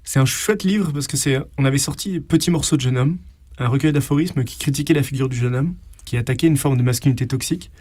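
A man's voice: mid-range voice, quick pace, darkish tone, no room sound, clean studio sound. A man speaking conversationally as an interviewed publisher, explaining things in an articulate way.

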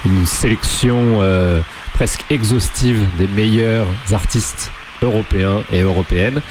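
A man's voice: fairly low pitch, unhurried, noisy background. A man hosting a radio show in a casual, conversational way.

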